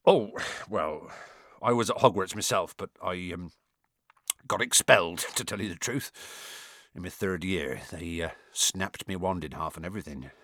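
A man voicing a big, gruff, warm-hearted giant gamekeeper with rustic colloquial speech, in an audiobook. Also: low voice, moderate pace, no echo, clean studio sound, pitched below the performer's own voice. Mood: sheepish, confiding. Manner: rustic colloquial speech, hesitant mumbles, growling and thundering. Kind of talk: audiobook character acting.

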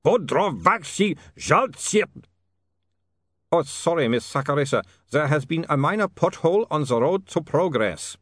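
A frantic, trembling vampire inventor with a foreign accent, wailing and pleading in a storybook reading, as a man puts him on. The voice sits high and loud, in a dry room with no echo. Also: sing-song, moderate pace, breathy edge, full character voice. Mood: flustered.

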